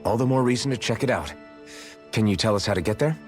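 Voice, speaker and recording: A man's voice: lowish voice, fast talker, soft background music, close to an everyday voice. A man voicing a resolute young warrior who leads his party in a dramatic video game. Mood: focused.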